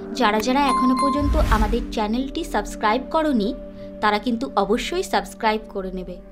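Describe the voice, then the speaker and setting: High-voiced woman narrating ghost stories, heavy reverb. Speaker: storyteller, channel narrator. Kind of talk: dramatic ghost story narration. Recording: echoey long reverb, music underneath.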